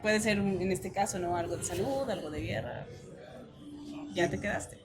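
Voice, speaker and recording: A woman's voice: medium pitch, moderate pace. Mexican woman telling her story over music. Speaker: interview guest. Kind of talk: casual personal storytelling in conversation.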